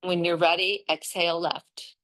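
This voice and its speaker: A woman yoga instructor leading breathing and relaxation practice in a gentle, unhurried way, leaving pauses between instructions. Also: on the low side, little pitch movement, low-quality recording.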